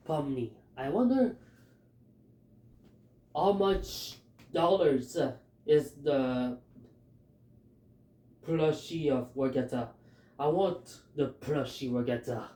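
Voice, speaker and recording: Filipino-accented guy, kinda high voice, slow and hesitant, roomy echo. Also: dark tone. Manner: halting, hesitant, many fillers. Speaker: fan commentator. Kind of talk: casual theory talk.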